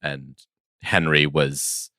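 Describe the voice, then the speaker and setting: A man co-hosting a podcast in casual banter, thinking aloud in a halting, rambling way. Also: very deep voice, little pitch movement, pushed and bright, no echo, clean studio sound. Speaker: podcast co-host.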